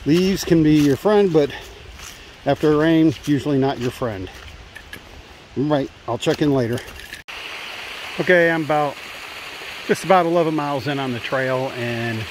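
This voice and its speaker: A man's voice: on the high side, measured pace, pauses often, rough recording. Chatty man hiking through woods. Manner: chatty, narrating while walking. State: out of breath at times. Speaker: hiker vlogger. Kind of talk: casual trail vlog. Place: outdoors on a forest trail. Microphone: handheld phone. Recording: faint wind and stream noise.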